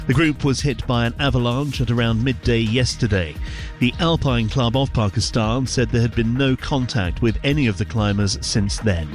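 A man voices a scripted news report as the correspondent. His pitch is average for a man, and faint music sits under the narration. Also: moderate pace. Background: music bed.

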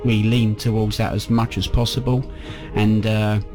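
Low-voiced man over music. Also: level tone, normal speed. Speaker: youth band leader, interview guest. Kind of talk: conversational interview answers. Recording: music playing under the voice.

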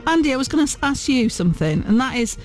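A man's voice: extremely high pitch, big pitch swings, quick pace, faint music behind. A man interviewing guests on the radio in a chatty, upbeat way, often restarting his questions. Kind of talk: casual broadcast interview.

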